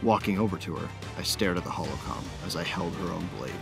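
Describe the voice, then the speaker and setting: A man's voice: deep voice, fast, vocal fry, over music. A man playing a cocky, hot-tempered bounty hunter, narrating his exploits in a swaggering, punchy, colloquial style in an audio drama. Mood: tense, angry.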